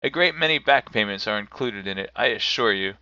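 A man's voice: on the low side, expressive, fairly quick, pushed and bright, clean tone, no echo, dull audio. A man voicing an elderly reformed miser in a storybook reading, effusively polite, eager and full of exclamations. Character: elderly reformed miser, giddy, courteous, overjoyed.

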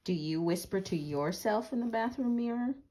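A woman reading a storybook aloud and chatting casually about it, with slow, deliberate phrasing and gentle questions. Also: medium pitch, expressive, slight background noise. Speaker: read-aloud reader.

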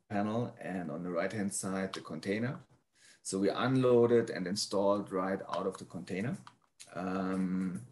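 A man presenting slides informally, speaking haltingly with frequent ums as he explains his work. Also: low-pitched, a little mellow, a little hiss or hum. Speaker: presenter, prefab builder.

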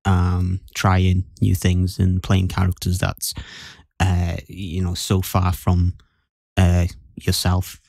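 A man in a casual podcast discussion, speaking in a hesitant, halting way as he talks about his acting. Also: sits low, monotone, creaky, darkish tone, no echo, studio quality. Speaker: podcast guest actor.

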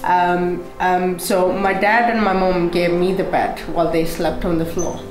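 Young woman from pakistan, native urdu speaker, lowish voice, hesitant with fillers, echoey room, faint music. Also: level tone, unhurried. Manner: hesitant, many fillers and restarts. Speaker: motivational speaker, guest. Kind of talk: telling her life story in an interview. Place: indoor studio set with brick wall, seated at table.

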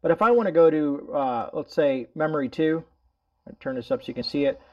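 Guy doing a casual hands-on review at home, kinda high voice, folksy and rambly, bit dull sound. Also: expressive, brisk. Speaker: host of a product review video. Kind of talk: casual product demonstration. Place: indoors at home.

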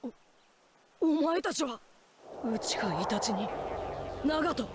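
An actor voicing a brash, earnest young male ninja hero in an anime game, with rough, boyish, informal speech. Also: high voice, expressive, slow pace, noisy background. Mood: surprised. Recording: game dialogue over music.

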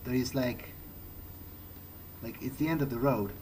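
A man's voice: medium pitch, a little slow. Hesitant male gamer over noisy game ambience. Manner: hesitant, thinking aloud. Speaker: gamer playing in character. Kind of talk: improvised in-character video game roleplay. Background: video game ambience.

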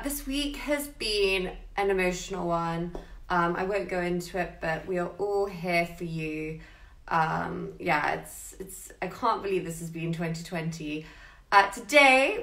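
Bright-voiced, chatty woman hosting. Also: medium pitch. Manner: effusive, chatty. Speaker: host. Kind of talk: casual chat interview. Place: living room at home, indoors. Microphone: video call device.

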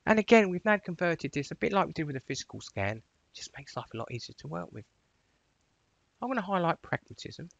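A man presenting an informal software tutorial, explaining things step by step in a voice-over. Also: fairly high pitch, sing-song, fairly quick, vocal fry, no room sound, lo-fi sound.

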